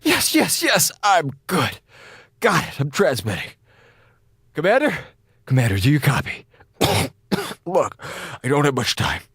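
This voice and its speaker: An actor in a radio drama playing a sick, captive space station officer, sarcastic, rambling and frantic. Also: male voice, fairly high pitch, wildly swooping pitch, measured pace, pauses often, fairly bright tone, slightly rough, no echo, clean studio sound, heavily acted. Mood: desperate. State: feverish, coughing.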